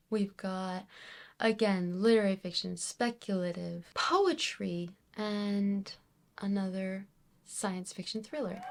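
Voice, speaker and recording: A woman presenting a casual book wrap-up to viewers in an enthusiastic, expressive way. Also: medium pitch. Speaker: book reviewer video host.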